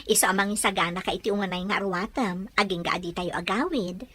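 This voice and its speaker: A woman's voice: mid-range voice, fast, bright, forceful tone, a little hiss or hum, natural voice. A woman voicing a caring older mother who gives practical instructions to her family. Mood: instructing. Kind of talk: amateur audio drama acting.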